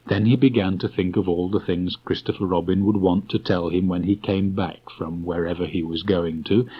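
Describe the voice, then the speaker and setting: A man narrating a storybook as an audiobook reader, in a measured, unhurried manner. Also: low-pitched, husky. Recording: dull restored cassette tape.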